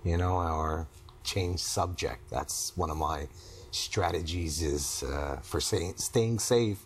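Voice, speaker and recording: A man in his fifties talking haltingly and candidly about himself in a personal conversation. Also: low voice, slight background noise. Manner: halting, hesitant. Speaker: client in couples therapy interview. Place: small indoor TV studio living-room set.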